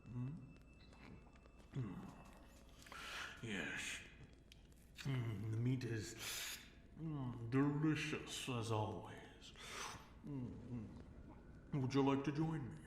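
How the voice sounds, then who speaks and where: A man performs a fallen dragon king in an ASMR fantasy roleplay, seductive and menacing. He speaks very slowly, drawing out his words and dipping into whispers, with a teasing, possessive air of command. The voice rings in a roomy echo over faint noise. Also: mid-range voice, a little mellow. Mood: teasing, languid.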